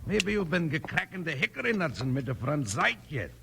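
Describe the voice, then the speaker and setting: A high, animated voice plays a fussy German gunsmith from a 1950s radio western. The German accent is thick and the English comically mangled with mock German. Faint noise of an old broadcast sits under it. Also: male voice, fairly quick, gravelly. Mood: puzzled, fussy.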